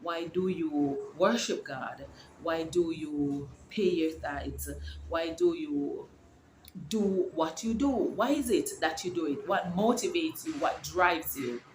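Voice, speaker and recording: A woman's voice: low voice, slow pace, a little mellow, a little hiss or hum. A middle-aged woman vlogging from home, talking in a casual, encouraging, preachy way and rambling with restarts. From Jamaica. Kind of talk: casual faith-filled vlog. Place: her home. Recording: echoey room with faint ambience.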